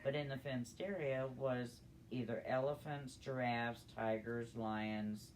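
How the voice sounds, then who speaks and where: A woman's voice: rumbling low, steady tone, slow pace, a little mellow, rough recording. An older woman shares a tale in a casual, folksy way. Her speech is plain and unpolished, with some noise around it on a homemade-sounding recording. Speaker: storytelling guest. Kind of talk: casual storytelling.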